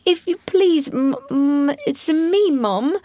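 Woman putting on a high, tearful young scullery maid. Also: lively intonation, slow pace, mellow, no room sound. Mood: pleading. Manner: pleading, tearful, stammering, uneducated grammar.

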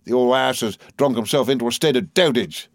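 A man's voice: medium pitch, fairly quick, no room sound, clean studio sound, pitched above the performer's own voice, close to an everyday voice. A man voicing a spiteful, scheming clerk in an audiobook, sneering and threatening with false familiarity. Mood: angry.

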